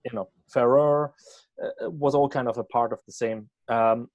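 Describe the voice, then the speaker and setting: Swiss german guy hosting a podcast, kinda rambly and halting, mid pitch, very dry audio. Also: fairly even intonation, darkish tone, no echo, dull audio. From Switzerland. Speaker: podcast host. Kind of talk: casual interview chat.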